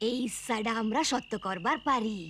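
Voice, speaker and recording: A woman voicing a kindly old village grandmother in a cartoon, telling bedtime tales in a rural Bangladeshi accent with a creaky voice. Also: a little high, fairly quick, fairly bright tone, a little hiss or hum, natural voice. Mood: storytelling. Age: older. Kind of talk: cartoon storytelling voice acting.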